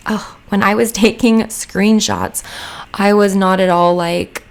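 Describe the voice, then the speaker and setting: A young woman talks through a home-mic voice-over, a little hoarse today. Her voice has gravelly fry as she rambles, full of "like" and little asides. It sounds relaxed and chatty. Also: medium pitch, slow pace. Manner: rambling, chatty, lots of "like" fillers. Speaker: Sims speed build creator. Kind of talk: casual voice-over chat.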